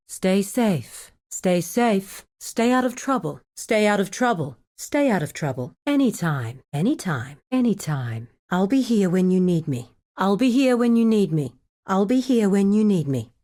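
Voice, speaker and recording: Woman doing a crime boss's right-hand lady in a video game, formal n businesslike, composed, pitch moves around a lot. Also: darkish tone, lightly acted. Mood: calm, courteous. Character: composed, dutiful female lieutenant of a crime boss. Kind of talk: video game character voice acting.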